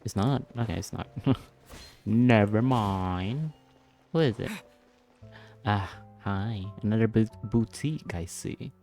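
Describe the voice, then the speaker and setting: A man's voice: lowish voice, slow pace, a little mellow, soft background music. A man giving casual playthrough commentary in short, murmured reactions, often repeating words, into a close microphone. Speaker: gaming video maker.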